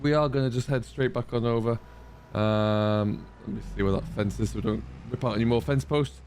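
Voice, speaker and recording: A man's voice: mid-range voice, a little fast, darkish tone. Chatty man rambling over farm-game vehicle noise. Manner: chatty, rambling, thinking aloud. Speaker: host of a farming simulator roleplay series. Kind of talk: casual gameplay commentary in farmer roleplay.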